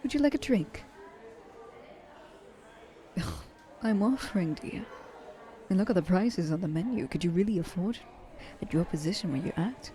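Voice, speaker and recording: A woman's voice: fairly low pitch, expressive, normal speed, pauses often, dark tone, soft background music, natural voice. A woman playing a wealthy, thousand-year-old vampire in an ASMR roleplay, speaking to the listener in a genteel, courteous yet condescending manner. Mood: generous, snobbish.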